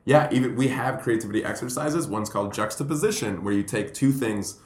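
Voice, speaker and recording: A man from Puerto Rico, a comedian telling stories in a rambling, animated way on a podcast. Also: mid-range voice, fairly quick. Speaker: comedian and guest. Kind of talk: casual podcast chat. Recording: roomy echo.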